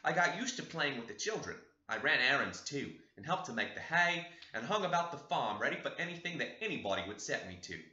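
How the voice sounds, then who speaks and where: Chatty housekeeper voiced by a man, bright and echoey. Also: mid-range voice, sing-song, brisk, few pauses. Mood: reminiscing. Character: talkative, homely woman housekeeper telling family history. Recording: roomy, echoey, slightly dull sound.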